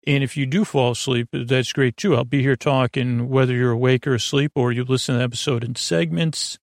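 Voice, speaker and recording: Guy hosting a bedtime podcast, gravelly voice, rambly and gentle, dry close sound. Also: mid-range voice, fairly even intonation, fairly quick, dry recording. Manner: meandering, many restarts and fillers, gentle and reassuring. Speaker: podcast host.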